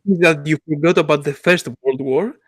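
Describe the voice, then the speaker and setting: Halting man, dry sound. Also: fairly high pitch, no room sound. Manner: halting, many restarts and fillers. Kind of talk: casual chat.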